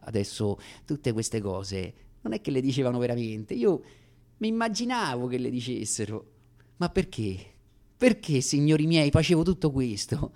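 An actor with a Roman accent playing a variety comedian who tells his life story in a sardonic, dramatic monologue with deliberate pauses. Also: medium pitch, expressive, darkish tone, natural voice. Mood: confiding, somber. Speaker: stage actor. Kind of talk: theatrical radio monologue.

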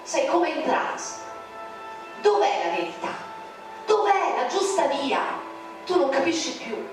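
A woman's voice: high voice, very animated, slow. A woman narrator reciting a poem in a declamatory, indignant manner. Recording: very echoey, distant, music behind.

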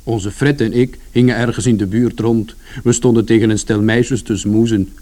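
Man playing mellow, chatty storytelling son. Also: fairly low pitch, steady tone, normal speed, natural voice. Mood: matter-of-fact recounting. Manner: colloquial, rambling, matter-of-fact. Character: chatty, wry working-class son recounting misadventures. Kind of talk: radio play first-person narration.

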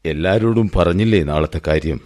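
An actor playing a grave, sorrowful old father in a radio drama, halting and emotional in his delivery. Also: low voice, close to an everyday voice. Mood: quiet. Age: older. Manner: halting, emotional, swelling and hushing. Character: grave, sorrowful elderly father, head of family. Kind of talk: melodramatic radio drama acting.

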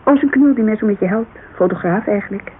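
A woman's voice: medium pitch, fairly quick, lightly acted. Woman acting a chatty witness, tinny old radio sound. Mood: amused, candid. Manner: informal, digressive, animated storytelling. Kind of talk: naturalistic radio drama dialogue. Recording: muffled, hissy old radio recording.

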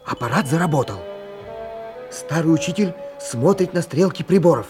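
A man's voice: a little high, animated, normal speed, music in the background. A man reading scripted narration for a radio play as its storyteller.

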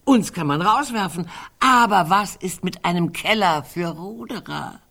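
A woman's voice: lowish voice, animated, unhurried, creaky, fairly bright tone, exaggerated voice. An actress playing a wry, musing, fussy older woman in a literary radio drama, digressing as she talks. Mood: brooding, wry.

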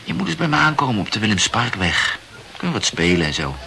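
An actor in a radio play playing a chatty, self-absorbed young amateur writer who rambles and corrects himself insistently. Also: male voice, fairly low pitch, sing-song, fast talker, creaky, bright, forceful tone, heavily acted. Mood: insistent, self-conscious. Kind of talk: literary radio play dialogue.

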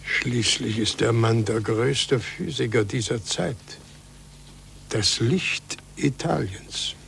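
An actor playing a weary, irritable, imperious Pope in a 1940s German radio drama, speaking in a measured, testy way. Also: male voice, mid-range voice, creaky, a bit bright, a little hiss or hum. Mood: defensive. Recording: old 1940s radio recording.

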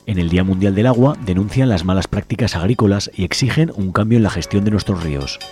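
A man's voice: on the low side, fast. A man with an accent from Spain, hosting community radio and reading news headlines. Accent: Spanish, from Spain. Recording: music bed behind voice. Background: background music.

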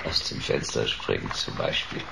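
An actor playing a wry, terse, hard-boiled private detective in a radio drama. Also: male voice, normal speed, noisy background, natural voice. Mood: wry, suspicious.

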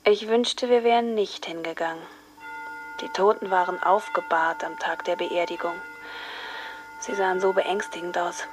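A woman's voice: fairly low pitch, steady tone, moderate pace, over music, close to an everyday voice. An actress playing a grieving, numb teenage girl in a radio drama monologue, with a subdued, detached manner. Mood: numb grief. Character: grieving, numb teenage girl recalling her dead friend.

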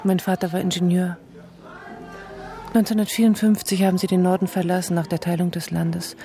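An actress playing an intense, watchful woman who speaks in a measured, controlled way in a radio drama. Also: on the low side, level tone, dark tone, faint music behind, natural voice. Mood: controlled, sombre. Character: intense, watchful woman of Vietnamese family, telling her past.

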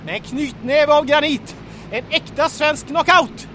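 A man acting an eager, cocky and loyal aeroplane bombardier in an adventure radio drama. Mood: focused. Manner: colourful, boastful, clipped aviation reporting. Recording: loud aircraft engine rumble.

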